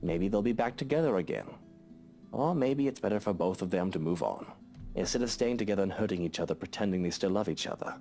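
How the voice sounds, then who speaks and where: A man's voice: sits low, lively intonation, fast, creaky, dark tone, soft background music. A man voicing a grown man who patiently explains things to a child in a visual novel. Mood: gentle.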